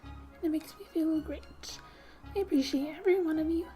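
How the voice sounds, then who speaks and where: A soft-spoken woman doing ASMR gaming commentary, gently reading story text aloud and often dropping to a whisper, in an unhurried way. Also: high voice. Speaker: video game streamer. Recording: quiet background music. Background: soft music.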